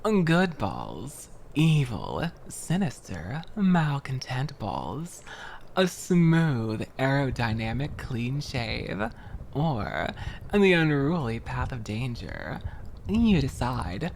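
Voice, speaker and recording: A streamer putting on a deep announcer voice to read a retro game ad, with slow, dramatic emphasis. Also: very animated, vocal fry, darkish tone, slight background noise, exaggerated voice.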